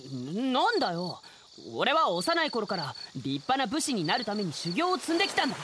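A boyish young wandering samurai, played by a male actor, with a high, wildly swinging voice. He is serious and easily riled, firing off brusque retorts in comic radio drama style. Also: wildly swooping pitch, normal speed, noisy background. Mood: defensive.

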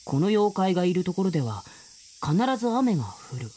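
A woman voicing an earnest, skeptical middle-school boy in an audio drama, acting his part and narrating his story with a husky voice. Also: on the low side, big pitch swings, moderate pace, mellow, slight background noise. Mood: reflective narrating.

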